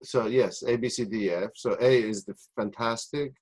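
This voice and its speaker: A man with a Polish-American accent hosting a live webinar as a doctor. He explains a group exercise haltingly, with frequent restarts, and laughs easily. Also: mid-range voice, fairly even intonation, darkish tone.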